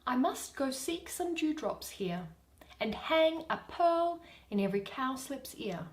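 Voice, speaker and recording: A dainty wandering fairy played by a woman speaks in lilting stage verse. The voice is high and slow, rising and falling freely. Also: very animated. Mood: airy, dutiful. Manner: lilting, theatrical verse delivery. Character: dainty wandering female fairy serving the fairy queen.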